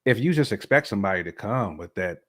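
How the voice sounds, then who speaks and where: Low-voiced American man, casual and emphatic. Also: lively intonation, fast talker, fairly bright tone. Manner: colloquial, emphatic, with frequent "you know" check-ins. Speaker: podcast guest or co-host discussing relationships. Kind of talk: casual conversational podcast talk.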